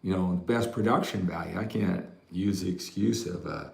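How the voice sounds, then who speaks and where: A man's voice: low-pitched, moderate pace, gravelly. A mature American man who works as a fitness coach, chatting casually to livestream viewers in a rambling way, often tagging his sentences with "right?". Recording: roomy, echoey room sound.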